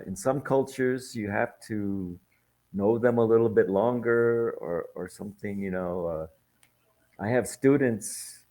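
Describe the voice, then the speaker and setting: A man's voice: fairly low pitch, mellow. An American man teaching English in a casual video-call chat, telling stories with lots of "you know.". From United States. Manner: friendly, anecdotal, many fillers. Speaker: English tutor. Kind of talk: casual conversation lesson.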